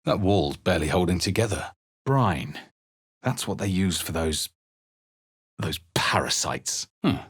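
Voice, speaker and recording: A man's voice: deep voice, lively intonation, a little slow, pauses often, a bit bright, slightly rough, no echo, clean studio sound, full character voice. A man voicing a grave, wry adventurer and spellcaster in a video game, speaking with dramatic weight. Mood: wary, wry. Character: grave male fantasy adventurer hero, wry, watchful spellcaster. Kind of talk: video game character barks and spell incantations.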